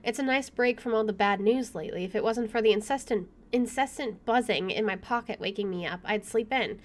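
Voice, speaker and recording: A woman's voice: mid-range voice, brisk. A woman hosting a gaming video, expressively reading story text aloud and adding casual asides.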